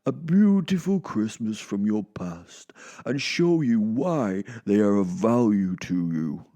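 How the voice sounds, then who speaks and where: A man voicing a jolly old Santa Claus with a loud, deliberate delivery in an audio drama. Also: lowish voice, expressive. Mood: grandly instructive.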